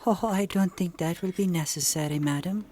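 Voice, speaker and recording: A woman's voice: fairly low pitch, fairly quick, dark tone, a little hiss or hum, natural voice. A woman playing a courteous, anxious lady in an amateur radio drama. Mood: polite, uneasy. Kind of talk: amateur old-time radio drama acting.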